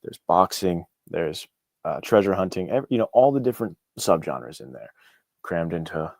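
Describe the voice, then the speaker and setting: A man with a low voice speaks as a guest in a relaxed interview. His talk is informal and keen, and the sound is dry and close. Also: normal speed, gravelly, dry recording. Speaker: guest, indie author. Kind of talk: casual interview chat.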